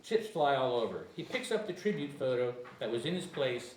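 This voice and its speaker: A man's voice: medium pitch, sing-song, a little fast, a little mellow. A man reading screenplay stage directions aloud as narrator at a table reading, occasionally stumbling over words. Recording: echoey room, distant mic, faint noise.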